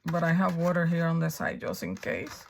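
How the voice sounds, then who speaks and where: A woman with a raspy, hoarse voice from a coming flu, chatting her way through a crafting tutorial and thinking aloud as she works. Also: low voice, big pitch swings, normal speed, noisy background. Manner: chatty, thinking aloud while working, frequent ums. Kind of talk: casual step-by-step crafting tutorial.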